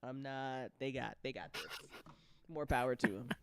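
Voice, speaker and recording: A woman chatting casually as an audio drama creator and host, with an animated, sweary, slangy way of talking. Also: very deep voice, normal speed, clean tone. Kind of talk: casual chat.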